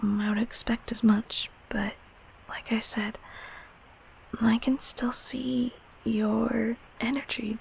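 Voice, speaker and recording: A woman voicing a gentle, protective childhood closet monster in a comfort audio roleplay, speaking haltingly in a breathy, raspy whisper. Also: on the high side, slight background noise, exaggerated voice, low-quality recording. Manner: halting, soothing, softly spoken.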